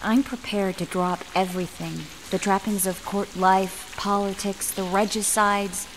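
A woman playing an earnest, caring young sorceress in a video game, speaking in a measured way. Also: medium pitch, little pitch movement, noisy background, lightly acted. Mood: earnest, devoted.

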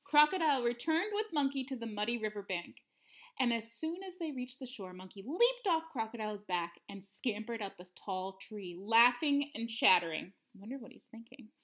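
A woman's voice: on the high side, expressive, moderate pace, a bit bright. A woman in her thirties reading a picture book aloud expressively for children, at home. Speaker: storybook reader. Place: living room at home.

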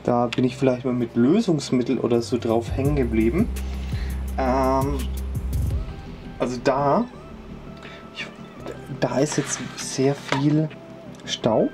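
A woman's voice: very deep voice, fairly even intonation, slow, long pauses, over music. A woman hosting a tech channel, casually reviewing a product at home, rambling and chatty with lots of fillers and tag questions. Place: indoors at home, moving between rooms.

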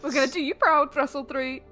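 A young woman with a Yorkshire accent chatting excitably and swearing while playing a game with a friend. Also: high-pitched, normal speed. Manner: excitable, swears, laughs often. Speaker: streamer. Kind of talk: casual gaming chat. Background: game music.

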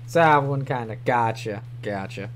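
A man's voice: mid-range voice, normal speed, soft background music. A young man with a New York accent, chatting casually on a livestream from a small room, rambling and swearing offhandedly. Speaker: streamer.

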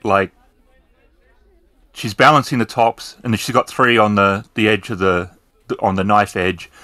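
A man's voice: fairly low pitch, steady tone, unhurried, sharp tone, no echo. A man improvising descriptive narration as the storyteller of a tabletop roleplaying game. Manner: descriptive, explanatory.

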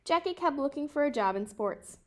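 A woman in her twenties reading a children's picture book aloud in a careful, measured way. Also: high-pitched, lively intonation, a little mellow. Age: 20s. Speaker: storybook reader.

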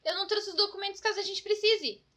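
A woman with a Brazilian accent voicing an excitable, scatterbrained best friend in a children's comedy cartoon, dramatic and full of exclamations. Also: extremely high pitch, quick pace. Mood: fretting. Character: excitable, scatterbrained, over-prepared young female best friend.

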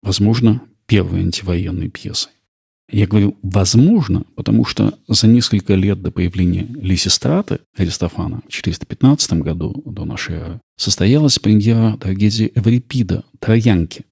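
A man hosting a podcast with measured, explanatory scripted documentary narration. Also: lowish voice, creaky, darkish tone, breathy edge, no echo, clean studio sound. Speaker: podcast host.

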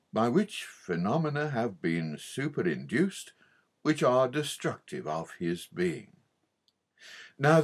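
Man, audiobook narration, low-pitched, slightly rough husky tone, very animated intonation, expository religious reading. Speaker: audiobook reader. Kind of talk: scripted narration of an old theological book.

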